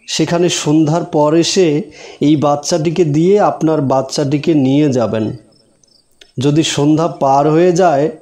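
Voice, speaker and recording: Slow, earnest devout village man played by an actor. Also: a little high, dark tone, lightly acted. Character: devout, principled village madrasa teacher and father. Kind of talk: cartoon moral story dialogue.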